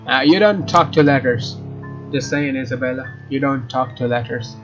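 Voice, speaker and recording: A man's voice: medium pitch, normal speed, muffled recording. A man narrating a let's play, reading game text aloud and adding informal, joking asides. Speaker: let's player. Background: game music.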